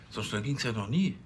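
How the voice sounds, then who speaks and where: An actor playing a self-important, bossy police chief in a TV crime comedy, pompous and hesitating over grand phrases. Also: male voice, mid-range voice, a little hiss or hum.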